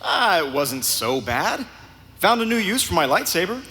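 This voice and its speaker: An actor playing an eager, confident young rebel hero in a live audio drama, with an earnest, excitable manner. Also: male voice, high voice, moderate pace, exaggerated voice. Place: convention stage before a live audience. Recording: reverberant live hall sound.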